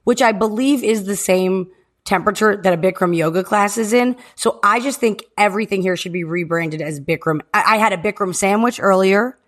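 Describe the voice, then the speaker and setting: A woman co-hosting a podcast from home, joking and riffing through casual banter with quick asides. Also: mid-range voice. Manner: jokey, riffing, rapid asides. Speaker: podcast co-host. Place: home in Texas.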